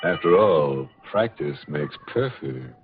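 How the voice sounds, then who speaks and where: An actor playing a gleefully morbid, wisecracking horror host and narrator on 1940s radio, sardonic and darkly humorous. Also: male voice, very deep voice, lively intonation, slow pace, full character voice. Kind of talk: tongue-in-cheek 1940s radio horror hosting. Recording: dull 1940s radio recording, music behind.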